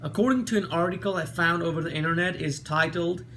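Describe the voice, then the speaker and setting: Earnest Nepali-accented man, high voice. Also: pushed and bright, slight background noise. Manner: earnest, careful reading with pauses and small slips. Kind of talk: read-aloud video talk.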